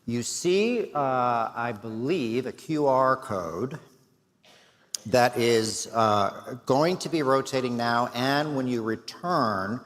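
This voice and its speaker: Man, middle-aged, hoarse, conference host, tense bright tone, long hall reverb. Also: mid-range voice, animated, takes it slowly. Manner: self-deprecating, warm thanks, many fillers. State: hoarse, losing his voice. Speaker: executive director. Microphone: stage mic.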